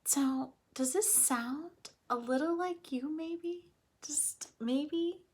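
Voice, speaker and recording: A woman with a slightly husky voice leading a read-aloud lesson for children, explaining things simply in an expressive, coaxing way and speaking to them directly. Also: high voice, slow pace. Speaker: teacher reading aloud to children.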